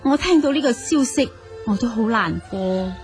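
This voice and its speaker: A learned noblewoman of ancient China, played by a woman in a Cantonese audio drama. Her voice is fairly high and emotive, with sighs and humble, courtly phrasing, over clear background music. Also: expressive, moderate pace, natural voice. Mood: wistful, grieving. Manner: emotive, sighing, formally courteous.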